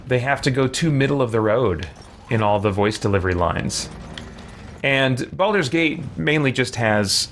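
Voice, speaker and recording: A man's voice: fairly low pitch, slight background noise. A man, giving casual, rambling live gameplay commentary indoors at home. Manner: chatty, rambling, animated fan talk. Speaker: game streamer. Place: small room at home, indoors. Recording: faint game sound behind voice. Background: video game ambience.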